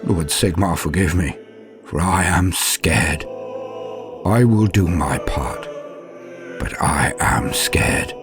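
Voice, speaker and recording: A man playing a frightened but dutiful knight in an audio drama, narrating gravely in archaic wording with dramatic pauses. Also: deep voice, music in the background. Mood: fearful confession. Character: frightened but dutiful male knight recounting doomed battle.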